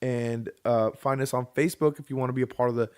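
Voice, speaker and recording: A man hosting a podcast in casual conversation, speaking in a halting, hesitant way with dry joking. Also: medium pitch, steady tone, a little mellow, smooth. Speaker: podcast host.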